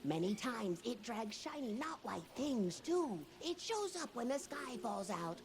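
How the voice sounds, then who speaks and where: A woman's voice: fairly high pitch, very animated, measured pace, a little hiss or hum. A woman voicing a small, proud forest creature in a video game, a quaint trader with pompous, broken, theatrical speech. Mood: fearful. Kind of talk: video game character dialogue. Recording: game audio with loud background ambience.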